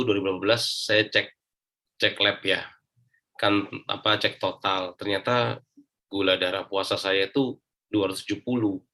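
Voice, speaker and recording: A man's voice: fairly low pitch, fairly bright tone. A man with an Indonesian accent, an interview guest giving a casual personal account step by step.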